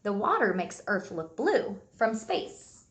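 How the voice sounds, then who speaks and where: A woman teacher reading aloud and giving a simple lesson to young children, enunciating key words deliberately. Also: mid-range voice, sing-song, a little hiss or hum. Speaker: early elementary school teacher. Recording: echoey room sound.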